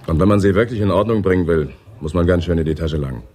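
An actor playing a laconic, proud biker and car mechanic in a German radio crime drama, plain-spoken and unhurried. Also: male voice, sits low, a little mellow, lightly acted.

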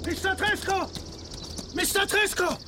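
A man's voice: very high voice, a little slow, music in the background. An actor playing an agitated, pleading swindler who poses as a father in a German western radio drama. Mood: urgent, scheming.

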